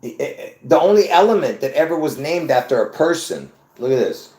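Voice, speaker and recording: A man from Lebanon hosting his own video, talking excitedly to viewers in a rambling, repetitive way with bursts of laughter. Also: fairly high pitch, fairly quick, echoey room. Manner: excitable, repeats himself, laughs between points. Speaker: video host. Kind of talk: rambling casual monologue to viewers.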